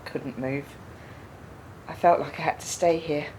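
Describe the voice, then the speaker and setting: An actress playing a quiet, pensive woman burdened by doubt in a radio play, speaking in a reflective, halting way. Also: low-pitched, steady tone, slight background noise, low-quality recording. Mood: pensive, sad. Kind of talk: radio play dialogue, naturalistic.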